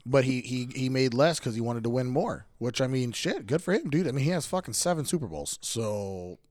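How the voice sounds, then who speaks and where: A man's voice: medium pitch, animated, a little fast, darkish tone, no echo. A man co-hosting a podcast, chatting casually about sports in a blunt, laid-back way with plenty of swearing. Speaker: podcast co-host. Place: bedroom. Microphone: studio podcast mic.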